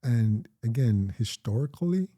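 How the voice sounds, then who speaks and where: A man's voice: medium pitch, lively intonation, warm tone. A middle-aged man from Jamaica with a Jamaican accent, talking reflectively in a casual conversation with his daughter. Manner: reflective, measured storytelling. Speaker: interviewee, parent.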